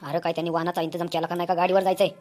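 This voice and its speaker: Woman as rapid-fire grumbling cartoon villager. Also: fairly low pitch, level tone, darkish tone, natural voice. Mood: curious. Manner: scolding, hurrying others along. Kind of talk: comedy cartoon dialogue.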